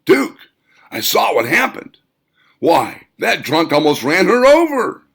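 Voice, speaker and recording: A man voicing an excitable, admiring male assistant, loud and exclaiming, in a storybook reading. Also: on the high side, slow pace, creaky, slightly rough, pitched above the performer's own voice, exaggerated voice. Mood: excited. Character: excitable, admiring male plant assistant greeting his boss.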